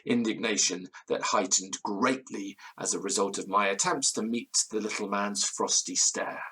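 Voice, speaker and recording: An actor playing a pompous, excitable gentleman who speaks in florid, theatrical language in an audio drama. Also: lowish voice, moderate pace, slightly rough, low-quality recording. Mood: dramatic narrating. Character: pompous, excitable Victorian-style gentleman storyteller. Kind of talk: dramatic first-person storytelling in audio drama.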